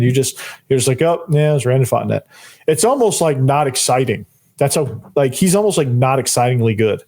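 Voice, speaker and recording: Hoarse, fired-up man hosting a podcast. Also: mid-range voice, darkish tone. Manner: fired-up, rambling, repeats phrases, says "man" often. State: losing his voice, hoarse. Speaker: podcast host. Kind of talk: solo sports podcast talk.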